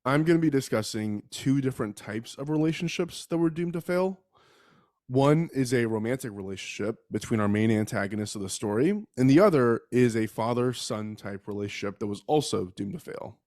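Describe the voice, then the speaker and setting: A man's voice: mid-range voice, brisk, darkish tone, no room sound, clean studio sound. Under-the-weather man podcaster. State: sick with a respiratory virus. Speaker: podcast host. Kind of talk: casual chat and true-crime storytelling.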